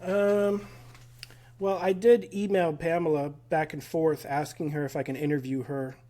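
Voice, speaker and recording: Higher-voiced man recalling details haltingly. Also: little pitch movement, mellow. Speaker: guest researcher. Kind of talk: casual podcast conversation.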